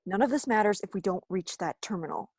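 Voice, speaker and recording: A woman's voice: mid-range voice, expressive, fast talker, a little mellow, husky, dry recording, studio quality. A woman playing an impassioned, urgent resistance soldier in a sci-fi audio drama. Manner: urgent, emphatic.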